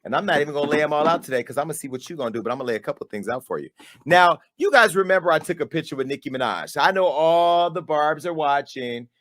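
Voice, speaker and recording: A high-voiced man delivering a sassy callout. He swings between hushed, pointed asides and loud, punchy bursts, like a host laying out receipts for his audience. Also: expressive, fast. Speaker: media company owner and host. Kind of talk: on-camera callout monologue.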